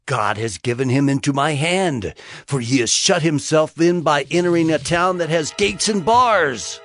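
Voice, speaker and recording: A man's voice: fairly high pitch, lively intonation, a little slow, fairly bright tone, no echo, soft background music. A scheming king, close and dry. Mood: gloating. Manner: emphatic, calculating, imperious. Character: Saul, scheming vengeful male king. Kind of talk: dramatized scripture reading.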